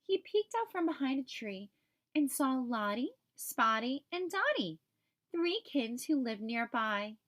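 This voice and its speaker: A woman reading a children's picture book aloud as an expressive storyteller for young listeners. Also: sits high, a little slow.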